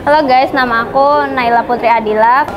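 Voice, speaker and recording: A woman's voice: a little high, fairly even intonation, fairly quick, background noise. An eighteen-year-old woman student answering street interview questions in a chatty way, full of fillers and English loanwords.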